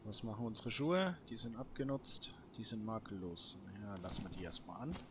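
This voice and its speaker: A man's voice: lowish voice, moderate pace, noisy background. A man giving casual, improvised gameplay commentary, thinking aloud in short muttered remarks. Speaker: gaming let's player.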